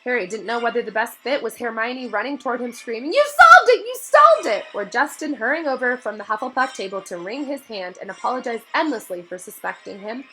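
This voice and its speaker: A woman reading a storybook aloud as narrator, with expressive delivery. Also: a little high, fast, few pauses, low-quality recording.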